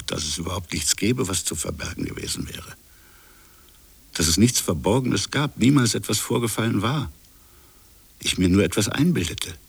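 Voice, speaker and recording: An actor playing a brooding French colonial official in a radio drama, musing haltingly over uncertain memories in a gravelly, husky voice. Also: male voice, low-pitched, full character voice. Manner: halting, musing, fragmented phrases. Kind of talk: introspective radio drama monologue.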